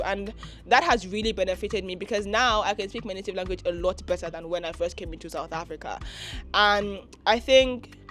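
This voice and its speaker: Teen girl from Nigeria, chatty, lots of fillers, faint music under her. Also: medium pitch. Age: teens. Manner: chatty, full of "like" fillers, giggly. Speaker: interviewee. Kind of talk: casual interview answers.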